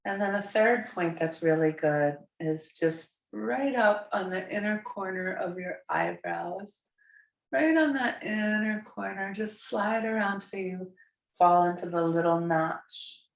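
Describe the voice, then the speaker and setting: A hoarse woman in her forties with a raspy voice from a cold, gently guiding a yoga class with soft, soothing instructions. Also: medium pitch. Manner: slow, soothing, softly encouraging. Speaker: yoga teacher. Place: bright home studio room with large windows. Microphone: camera across the room. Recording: echoey room, voice from far off.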